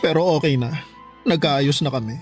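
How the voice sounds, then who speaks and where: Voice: mid-range voice, moderate pace, a little mellow, natural voice. An actor with a Filipino accent playing a doting, coy, forgiving parent in a campy, emotional radio drama style. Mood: apologetic. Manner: playful, campy, emotional, slang-laden. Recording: music under the voice.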